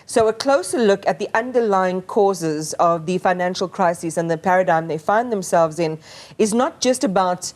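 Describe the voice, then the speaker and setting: A woman's voice: fairly low pitch, lively intonation, moderate pace, darkish tone. A woman anchoring a news interview, asking long, thoughtful questions and stumbling over repeated words.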